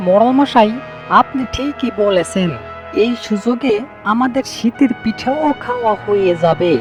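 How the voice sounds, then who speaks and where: An eager village servant and crier talks in an extremely high cartoon voice. He is fawning and agreeable, always obliging. Music sits clearly behind the speech. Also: normal speed. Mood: deferential. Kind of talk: cartoon moral-tale acting.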